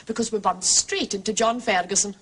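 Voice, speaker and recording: A woman's voice: mid-range voice, brisk, fairly bright tone, slightly rough. An actress playing an arch, gossipy lady artist in a radio drama, theatrically mock-indignant and teasing. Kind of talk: British radio drama acting.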